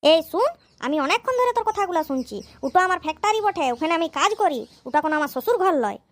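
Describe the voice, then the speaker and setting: A voice actor playing a gruff husband and father, scolding and muttering to himself in a cartoon. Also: very high voice, big pitch swings, fast, fairly bright tone, no room sound, exaggerated voice. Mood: fed up. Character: grumpy rural husband and father, blunt.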